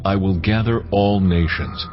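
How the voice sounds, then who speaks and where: A very low, solemn voice of God, declaims scripture with measured authority. The audio is slightly dull, with music clearly playing underneath. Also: male voice, steady tone. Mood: solemn, commanding. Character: God, solemn authoritative male deity addressing his people. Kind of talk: dramatized scripture reading. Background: clear background music.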